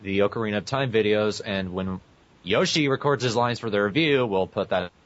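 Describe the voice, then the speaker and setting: A man's voice: fairly low pitch, fairly quick, a bit bright. A man co-hosting a podcast, talking casually with friends. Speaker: podcast co-host. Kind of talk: casual group chat. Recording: muffled lo-fi audio.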